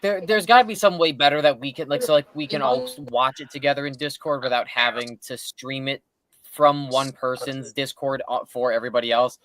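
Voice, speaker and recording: A man's voice: medium pitch, steady tone, a little fast, fairly bright tone, no room sound, poor audio. A man co-hosting a casual podcast, giving blunt opinions with casual swearing. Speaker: co-host of a movie podcast.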